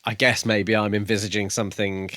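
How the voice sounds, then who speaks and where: A man with a lowish voice speaks in a very dry, close-sounding recording. He is a podcast co-host in casual conversation, tentatively musing as he builds each thought. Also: flat delivery, a little fast, pushed and bright, no echo. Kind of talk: casual discussion.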